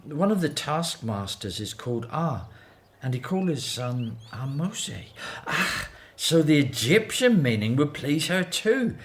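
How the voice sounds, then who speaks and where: A man's voice: fairly high pitch, unhurried. A man playing an earnest, devout Hebrew father in a biblical radio drama. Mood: thoughtful, resigned. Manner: earnest, expressive. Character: devout, anxious Hebrew father in ancient Egypt.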